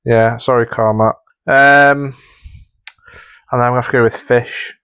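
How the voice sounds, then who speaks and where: A man's voice: medium pitch, steady tone, measured pace, pushed and bright, clean tone, no echo. A man judging entries on a podcast, talking casually in a hesitant, polite way. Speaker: podcast judge of audio tasks. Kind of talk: casual unscripted podcast chat.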